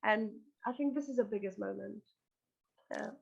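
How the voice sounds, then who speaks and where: A woman's voice: medium pitch, normal speed, gravelly, breathy edge, lo-fi sound. A woman from northern Greece, answering interview questions earnestly and hesitantly, repeating words as she goes. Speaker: interview guest, band singer and lyricist.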